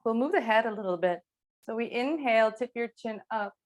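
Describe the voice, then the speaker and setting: A woman teaching yoga, giving calm, unhurried and gently encouraging guidance through movement and breathing. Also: fairly high pitch. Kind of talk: guided slow movement and breathing instruction.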